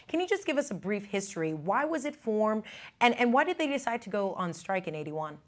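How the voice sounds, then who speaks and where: A woman's voice: medium pitch, very animated, a little fast. A woman interviewer putting questions to guests in a conversational broadcast style. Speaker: news interviewer, host.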